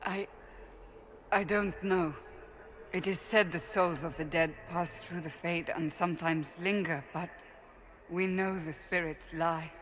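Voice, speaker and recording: A woman playing a devout, guarded, stern warrior in a fantasy game, speaking earnestly and haltingly. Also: fairly low pitch, steady tone, fairly bright tone, a little hiss or hum. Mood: awed, wary. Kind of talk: dramatic fantasy game acting.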